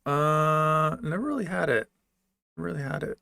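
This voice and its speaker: A man livestreaming, reading out his chat and answering it in a joking, deadpan way. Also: a little high, normal speed, gravelly, no echo, clean studio sound.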